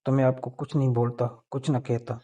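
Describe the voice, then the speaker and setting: A man's voice: mid-range voice, flat delivery, fairly quick, warm tone, natural voice. A man playing an insistent young man in a staged, conversational phone call, pleading and pressing his point.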